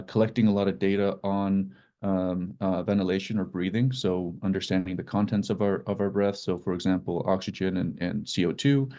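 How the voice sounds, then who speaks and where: A man from Iceland, explaining his work informally as a startup co-founder, in a halting way with repeated words. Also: sits low, mellow. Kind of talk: informal explanatory talk about own work.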